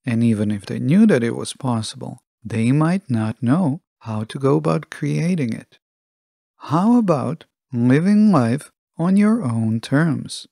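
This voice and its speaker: Gravelly, mellow male podcast host. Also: mid-range voice, lively intonation, measured pace, no room sound, clean studio sound. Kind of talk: scripted solo podcast narration.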